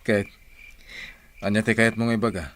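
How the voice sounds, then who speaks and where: Man acting a low-voiced stern husband, insects behind. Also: little pitch movement, normal speed, fairly bright tone, slight background noise, lightly acted. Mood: questioning. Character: stern, unyielding husband rejecting his wife's child. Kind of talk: audio drama acting.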